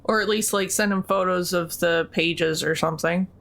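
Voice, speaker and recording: A woman's voice: fairly low pitch, fairly even intonation, moderate pace, vocal fry, fairly bright tone. A woman player talking casually with friends over a tabletop game. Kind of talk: casual group chat.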